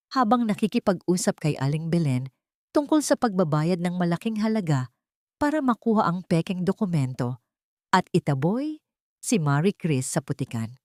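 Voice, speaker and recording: A woman's voice: fairly low pitch, darkish tone, dry recording, clean studio sound. A woman reading a scripted, dramatic audio story as its narrator.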